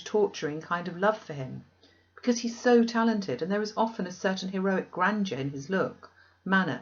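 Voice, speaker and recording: A woman reader playing an earnest, resolute young woman in a classic novel, measured and formal. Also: lowish voice, highs cut off, lightly acted. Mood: troubled.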